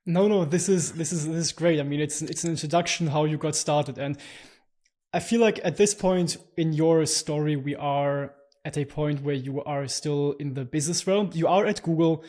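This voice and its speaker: Male host, higher register, casual and halting, recaps what the guest said. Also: a little mellow. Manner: halting, repeats words while summing up. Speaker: podcast host. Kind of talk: casual podcast chat.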